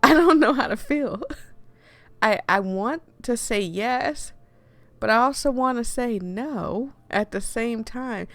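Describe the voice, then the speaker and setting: A woman hosting a game playthrough with casual, expressive and playful commentary. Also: on the high side, takes it slowly, no room sound. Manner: playful, chatty, expressive reactions. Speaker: host playing a game for viewers.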